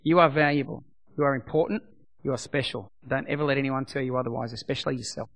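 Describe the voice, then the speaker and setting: A middle-aged man preaching as a pastor, his voice a bit croaky. He talks emphatically, repeating his points and dropping to a soft voice for prayer. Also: mid-range voice, fairly even intonation, quick pace. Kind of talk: sermon.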